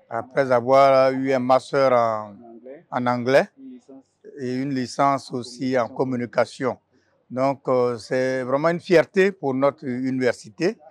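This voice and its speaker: A man, speaking as a university president in a formal, halting congratulatory address. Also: medium pitch, no echo.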